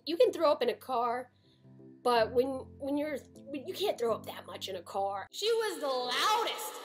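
An American woman in her twenties telling a personal story to camera at home, dramatic and emphatic. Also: sits high. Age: 20s. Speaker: storytime vlogger. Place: small room at home.

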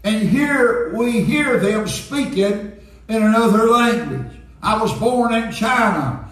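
An elderly male pastor preaches earnestly at an unhurried pace. His voice is high for a man. It rings with heavy echo in a church sanctuary. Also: lively intonation, fairly bright tone. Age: 70s. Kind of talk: Pentecostal sermon, preaching and reading aloud. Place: church sanctuary, indoors at a pulpit. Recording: very echoey church sanctuary, distant sound.

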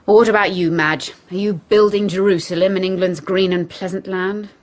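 An actress in a radio play as a good-humoured, frank English sister, talking briskly and teasingly. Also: female voice, medium pitch, steady tone, darkish tone, natural voice. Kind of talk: radio drama acting.

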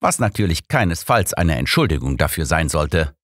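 A man voicing a composed, courteous old detective in an audiobook, speaking in a measured, deliberate way. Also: rumbling low, sing-song, fairly bright tone, dry recording, studio quality, full character voice. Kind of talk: audiobook character voice.

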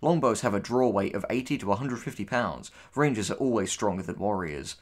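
A man's voice: fairly low pitch, animated, fairly quick. A man co-hosting a casual reaction commentary, reading posts aloud and answering them with sarcastic, sweary remarks. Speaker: co-host, writer.

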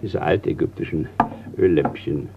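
An actor playing a courteous older retired colonel in a German radio crime play, measured and a little hesitant. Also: male voice, deep voice, vocal fry. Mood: businesslike, courteous. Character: retired colonel, older male art collector, courteous Scottish host. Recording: dull, noisy old radio recording.

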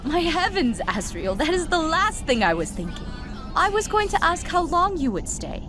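A proper goat monster mother voiced by a woman with a high, very lively voice. Her diction is prim and formal in a motherly way. Music is clear behind her in this comic dub. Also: normal speed. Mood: surprised. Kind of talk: animated comic dub voice acting.

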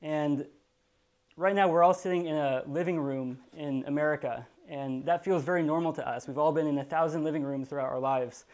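A man's voice: fairly high pitch, fairly even intonation, brisk, a little mellow. A young man in his twenties hosting an interview in a living room, prompting his guest with friendly questions. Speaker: host. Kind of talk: interview questions to a live audience.

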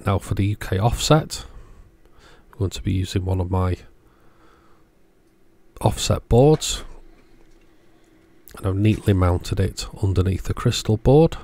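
A man's voice: sits low, a little slow, pauses often, a little mellow, no room sound. A man casually narrating a hands-on repair, explaining each step in a matter-of-fact way. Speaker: host of radio repair channel.

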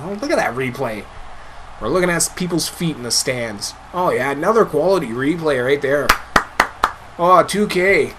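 A young man giving loud, excitable live commentary over a wrestling video game, his voice getting hoarse. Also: a little high, background noise. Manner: hyped, shouting play-by-play calls. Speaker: gameplay commentator.

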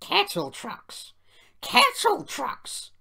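A woman voicing indignant, mischievous female railway coaches in a shrill manner for a storybook. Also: wildly swooping pitch, drawn-out words, vocal fry, pushed and bright, full character voice. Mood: vengeful grumbling.

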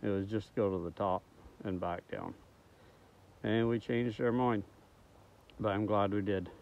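A man's voice: lowish voice, fairly even intonation, a little slow, gravelly. A man in his thirties talking casually to a phone at arm's length outdoors in a forest, rambling with frequent ums. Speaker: outdoors vlogger. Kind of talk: casual vlog to camera. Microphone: phone held at arm's length. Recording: tinny phone audio with faint outdoor noise.

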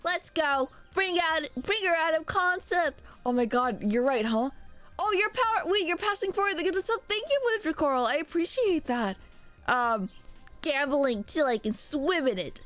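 Woman, young adult, streamer, sore throat, excitable, casual swearing, higher voice, soft background music. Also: normal speed. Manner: excitable, chatty, swears casually, thanks viewers by name. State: sore throat, a little sick. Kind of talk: casual live-stream chat with viewers. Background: faint background music.

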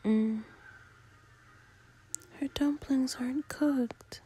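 A woman doing casual girlfriend ASMR, murmuring softly and often whispering in a sleepy, playful, unhurried way. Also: high voice. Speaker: ASMR roleplay performer as a girlfriend. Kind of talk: ASMR, casual intimate chat. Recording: clear steady background noise.

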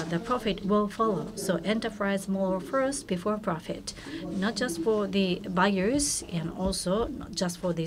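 Halting woman interpreter over a crowd. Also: mid-range voice. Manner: halting, many fillers and restarts. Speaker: conference interpreter. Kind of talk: consecutive interpretation.

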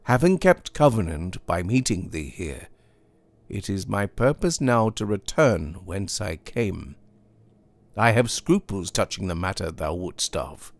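A man performs a pious young Puritan husband in a classic storybook. He is anxious and hesitant but earnest, with archaic formal speech. The delivery is slow, with very lively swings in pitch. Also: full character voice. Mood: reluctant. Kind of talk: dramatised audiobook reading of a classic tale.

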